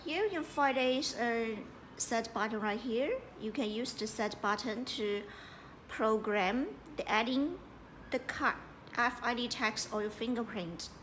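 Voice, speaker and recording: A woman giving a hands-on installation tutorial as a product presenter, speaking in halting, piecemeal phrases with repetition. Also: fairly high pitch, a bit bright. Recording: echoey room with clear background noise.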